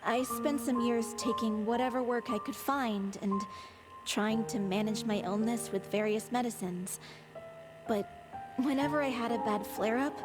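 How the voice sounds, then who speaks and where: Casting: woman as sincere, reflective young singer for anime game, fairly high, measured delivery. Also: lightly acted. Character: gentle, reflective young female troupe singer. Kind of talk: anime-style game voice acting. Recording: music under the voice.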